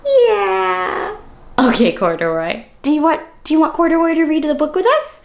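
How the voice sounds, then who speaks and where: A high-voiced woman leads story time for little kids. Her delivery swoops up and down with playful, exaggerated surprise, and she talks straight to her young listeners as she reads. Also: moderate pace, gravelly. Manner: animated, exaggerated surprise, addressing children directly with questions. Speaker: children's story-time host and reader. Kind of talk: interactive read-aloud for young children.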